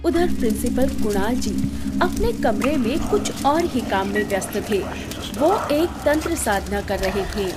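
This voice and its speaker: A woman narrating a scripted bedtime story in an expressive storytelling manner. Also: fairly high pitch, a little slow, loud music behind the voice.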